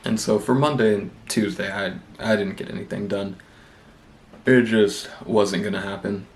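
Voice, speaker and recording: Congested man with a gravelly low voice. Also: moderate pace. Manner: relaxed, rambling, self-deprecating. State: congested with a cold. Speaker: booktube vlogger. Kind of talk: casual vlog chat.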